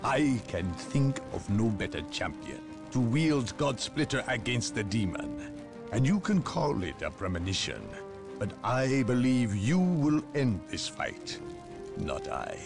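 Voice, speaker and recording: An actor playing a stern inquisitor who speaks in a measured, commanding way in a game cutscene. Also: male voice, medium pitch, very animated. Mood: grave. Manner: solemn, measured, authoritative. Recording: game audio with music behind.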